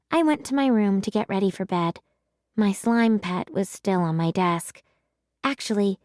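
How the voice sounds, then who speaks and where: A woman's voice: medium pitch, no room sound, studio quality. A woman narrating a children's storybook in a scripted read-aloud style.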